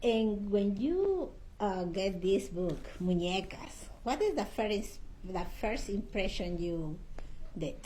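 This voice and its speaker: A woman's voice: mid-range voice, animated, slow, warm tone, poor audio. Woman interviewer over background noise. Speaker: podcast interviewer. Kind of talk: interview questions with short listening responses.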